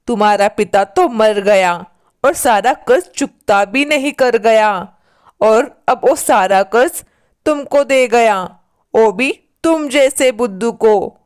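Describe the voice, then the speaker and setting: A stern, greedy moneylender man as a woman acts him out in a moral tale. The voice is fairly high and very clear. He scolds slowly and emphatically, never yielding. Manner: scolding, slow emphatic demands. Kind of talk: storybook character acting.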